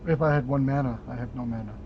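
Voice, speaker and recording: A man playing a card game who chats quickly, with joking banter across the table. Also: medium pitch, fairly even intonation. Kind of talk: casual game-table chat. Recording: dull lo-fi audio with loud noise, from off-mic.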